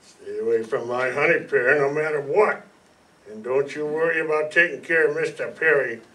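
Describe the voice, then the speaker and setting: A man's voice: a little high, moderate pace, bright, forceful tone. An older man playing a polite, lovesick, simple-minded ex-convict with a folksy, apologetic manner in a noir radio play. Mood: lovesick, menacing. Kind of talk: 1950s noir radio acting. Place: staged reading in a community hall. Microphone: stand microphone, heard from a far camera. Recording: distant room mic, some noise.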